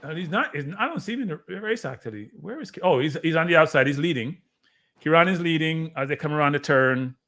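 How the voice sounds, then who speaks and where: A man's voice: a little high, expressive, fast, a bit bright. A man hosting a watchalong, giving casual live sports commentary. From Jamaica.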